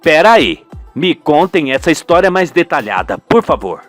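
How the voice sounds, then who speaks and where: A man's voice: fairly high pitch, big pitch swings, moderate pace, fairly bright tone, soft background music, voice pushed down. A man voicing a formal, probing Brazilian police investigator in a radio soap opera, composed and determined. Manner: official, probing.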